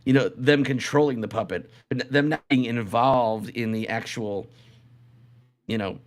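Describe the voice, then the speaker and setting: A man hosts a live podcast in a loose, conversational style. His voice sits at a middling pitch, and he rambles, often circling back to repeat his phrases. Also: fairly even intonation, normal speed. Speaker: podcast host. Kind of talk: casual live podcast chat.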